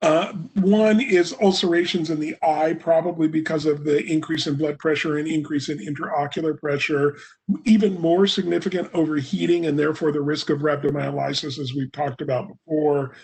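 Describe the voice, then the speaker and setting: A hoarse man giving a webinar lecture, his voice sitting a bit high for a man and sounding husky and rough. He explains things at a measured pace, with frequent "uh" and "um" fillers as he goes. He speaks into a computer microphone. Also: steady tone, darkish tone, poor audio. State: hoarse, coughing. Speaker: presenter.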